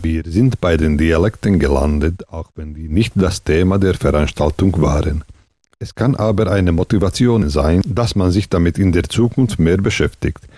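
A man hosting a radio interview, asking calm, measured questions. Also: low voice, dry recording.

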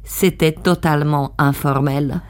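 A woman with a lowish voice reads a translated voice-over in the first person. Her delivery is clear and steady, in the manner of a dubbed interpreter. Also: measured pace.

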